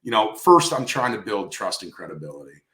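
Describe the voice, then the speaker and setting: A man's voice: fairly low pitch, big pitch swings, brisk, sharp tone. A man speaking conversationally in an industry interview, coaching emphatically and repeating key phrases for stress. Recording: roomy, echoey sound.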